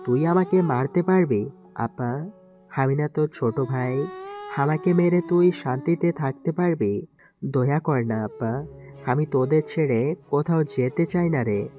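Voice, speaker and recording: An actor playing a wounded, pleading young outcast son in an audio story, earnest and plaintive. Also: male voice, fairly high pitch, unhurried, mellow, faint music behind, close to an everyday voice. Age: young adult. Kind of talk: dramatized audio story acting.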